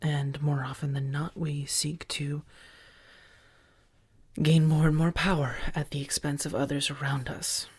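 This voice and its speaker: A woman playing a protective, affectionate female vampire roommate in a soft-spoken ASMR roleplay, breathy and often near a whisper, with halting, earnest delivery. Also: low-pitched, little pitch movement. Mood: grave, confiding.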